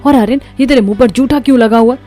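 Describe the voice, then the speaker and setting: Woman as a scolding rich grandmother, highish pitch. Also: fast talker, mellow, faint music behind, natural voice. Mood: furious. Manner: scolding, accusing. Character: angry rich older grandmother, contemptuous of the poor. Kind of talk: Hindi cartoon moral story dialogue.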